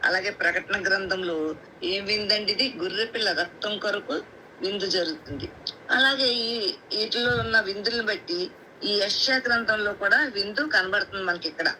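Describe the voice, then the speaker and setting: A woman's voice: medium pitch, normal speed, pushed and bright, low-quality recording. A woman with a coastal Andhra accent preaching a Bible sermon on a group call, explaining point by point, repeating phrases and asking rhetorical questions. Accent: coastal Andhra Telugu. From Andhra Pradesh, India. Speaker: lay preacher. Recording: faint background noise on conference call.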